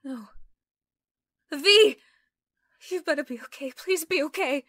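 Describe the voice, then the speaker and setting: A woman's voice: high-pitched, takes it slowly, a bit bright, dry recording. A British woman playing a protective, anxious heroine in an audio roleplay, urgent and emotional. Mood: pleading. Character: protective, anxious young heroine haunted by loss.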